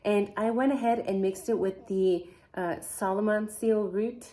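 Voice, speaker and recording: A woman's voice: medium pitch, fairly even intonation, unhurried, mellow. A woman whose first language is not English, hosting a casual true crime vlog and chatting to viewers, wondering aloud and putting questions to them. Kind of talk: casual to-camera chat.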